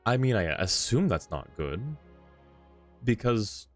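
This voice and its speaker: Deep-voiced man, casual joking game stream, rambling, faint game music. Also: lively intonation, slow. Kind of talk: casual gameplay commentary.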